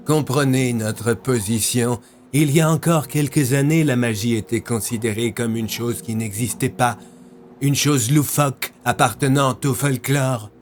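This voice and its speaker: A man portrays a controlled military officer in an audio drama. His medium-pitched delivery is measured, formal and stern, over slight background noise. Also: close to an everyday voice. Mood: grave, explaining. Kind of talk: French audio fiction acting.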